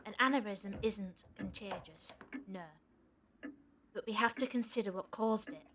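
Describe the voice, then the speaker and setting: A woman playing a coolly rational, sharp-tongued scientist with a precise, pedantic manner in an audio drama. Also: medium pitch, normal speed, background noise, close to an everyday voice. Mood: clinical.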